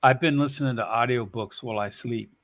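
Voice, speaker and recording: This is a man hanging out on a live stream panel, talking in loose, rambling bursts with casual swearing. His tone is bright and forward. The sound is dry and close, with no room around it. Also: mid-range voice, a little fast, no echo. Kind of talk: casual chat.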